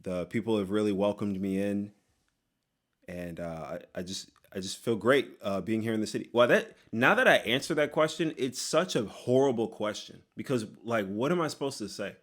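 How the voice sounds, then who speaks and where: A young man from Texas reads viewer comments aloud and answers them in a loose, joking way. His voice sits a little low, and he tosses in quick sarcastic asides. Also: lively intonation. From Texas, USA. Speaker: YouTuber host. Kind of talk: casual Q&A reading comments.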